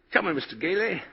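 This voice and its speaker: An actor playing a kindly, whimsical, principled old man in a 1940s radio drama, speaking with deliberate old-fashioned politeness. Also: high voice, big-room sound, heavily acted. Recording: muffled, husky-sounding 1940s radio broadcast.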